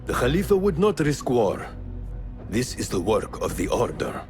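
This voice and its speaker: An actor playing a calm, wise male assassin mentor who speaks in a measured, grave way. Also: mid-range voice. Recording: game audio with music.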